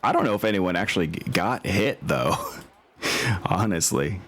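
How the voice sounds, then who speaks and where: A man with a deep voice acts as game master in casual chat. He talks informally, with slangy turns of phrase and an apologetic streak, as he gets things set up. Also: expressive, moderate pace, fairly bright tone, breathy edge. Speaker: game master and host of a tabletop campaign. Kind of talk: casual chat while setting up an online game. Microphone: online voice chat.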